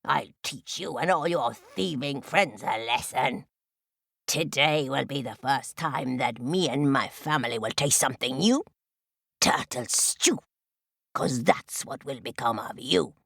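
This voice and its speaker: A woman voicing an angry, bossy male crow in a children's story, rasping and scolding with menace. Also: very deep voice, lively intonation, measured pace, a bit bright, no echo, studio quality, pitched below the performer's own voice, heavily acted. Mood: menacing. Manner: cawing, scolding, threatening.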